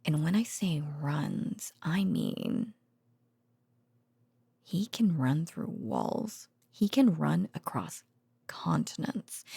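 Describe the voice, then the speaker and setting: A woman playing a gentle researcher girlfriend in an ASMR bedtime roleplay, murmuring haltingly in a soft, breathy voice that often drops to a whisper. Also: deep voice, no room sound, full character voice. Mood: hushed, eerie. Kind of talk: intimate ASMR audio roleplay, conversational bedtime monologue.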